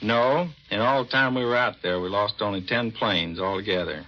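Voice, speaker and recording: A man's voice: on the low side, moderate pace. An American man, a navy commander, giving a plain, matter-of-fact scripted interview on 1940s radio. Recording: dull vintage 1940s radio recording.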